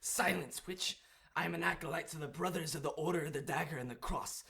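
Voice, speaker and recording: An actor playing an indignant, self-important young acolyte and cruel sidekick in an audio drama. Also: male voice, a little high, fairly quick, gravelly, heavy background noise.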